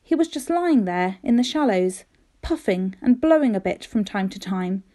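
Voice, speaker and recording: A woman reading a children's storybook aloud in an expressive, careful storytelling manner, with dramatic emphasis. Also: mid-range voice, moderate pace. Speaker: teacher reading aloud to pupils.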